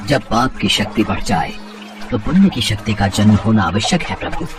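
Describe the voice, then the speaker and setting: A man playing a solemn god who entreats a higher lord with formal, reverent declamation in a mythological story. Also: sing-song, moderate pace, recorded from a distance, over music. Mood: reverent, grave.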